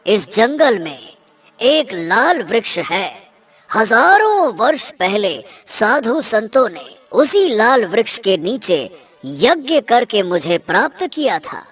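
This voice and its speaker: A man's voice: high voice, very animated, slow, a bit bright, heavily acted. A man voicing a dignified, commanding talking sword in a cartoon, formal and declarative.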